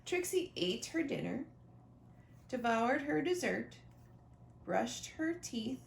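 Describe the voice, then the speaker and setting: A woman reading a children's picture book aloud expressively, pausing to talk directly to young listeners about the pictures. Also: fairly high pitch, sing-song, creaky. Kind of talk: animated children's storybook read-aloud with asides. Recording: roomy echo, faint background noise.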